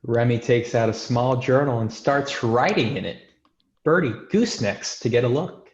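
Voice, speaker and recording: A man's voice: medium pitch, lively intonation, moderate pace, reverberant, dull audio. A man reading screenplay stage directions as scripted narration.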